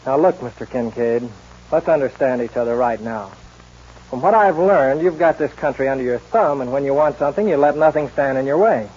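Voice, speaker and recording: A man's voice: mid-range voice, fairly quick, a little mellow, slightly rough, close to an everyday voice. Man as a blunt young cowboy, muffled old radio. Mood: cool, defiant. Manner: firm, clipped, coolly defiant. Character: blunt, fearless young cowboy defending his father. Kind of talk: old-time radio western acting. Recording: muffled vintage radio recording.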